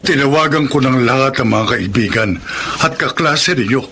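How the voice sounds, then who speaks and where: A man's voice: medium pitch, moderate pace, sharp tone, faint music behind. An actor playing a worried middle-aged Filipino father in a radio drama, speaking with anxious distress.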